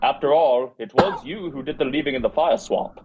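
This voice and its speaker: A man voicing a smooth, scheming prince in an amateur table read, in measured, formal phrases. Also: mid-range voice, phone-quality sound, background noise, lightly acted. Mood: smooth persuasion.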